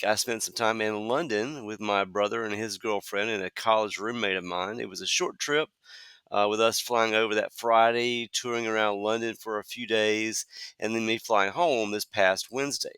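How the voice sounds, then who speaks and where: A man in his fifties hosting a solo podcast, talking conversationally with frequent "uh" fillers and a slightly hoarse voice from a cold. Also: on the low side, steady tone, moderate pace, pushed and bright, dry recording. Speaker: podcast host.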